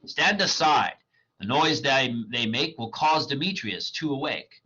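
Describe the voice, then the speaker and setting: A fairy king giving firm orders in verse, read aloud by a man. The voice swings widely in pitch and sounds bright. The recording is dull. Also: expressive, measured pace, husky, heavily acted. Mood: scheming. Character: commanding fairy king Oberon.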